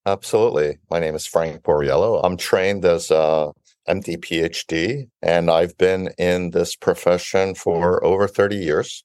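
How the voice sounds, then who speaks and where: Deep-voiced older man from Brooklyn. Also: fairly even intonation, a little slow, a little mellow, smooth, no room sound, studio quality. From Italy-born, raised in Brooklyn, New York. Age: 50s or older. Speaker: interview guest, biotech founder and CEO. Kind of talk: measured interview answers.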